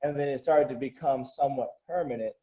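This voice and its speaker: A man's voice: medium pitch, fast, lo-fi sound. A man who coaches and grew up speaking Korean, talking earnestly and motivationally in a casual interview, often restarting his sentences.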